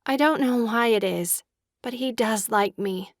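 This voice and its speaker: A woman playing a gentle, timid, earnest young daughter in a period audiobook drama, speaking softly and sincerely. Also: fairly high pitch, unhurried, no room sound, studio quality. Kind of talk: full-cast audiobook period drama acting.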